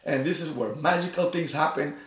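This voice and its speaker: An energetic man hosting an interview, full of encouragement and quick affirmations. His voice comes through a roomy, echoing space. He sits some distance from the microphone. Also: on the high side. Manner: energetic, encouraging, peppered with "right?" and "yes". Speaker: podcast host. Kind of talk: enthusiastic interview chat. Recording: roomy sound, voice off-mic.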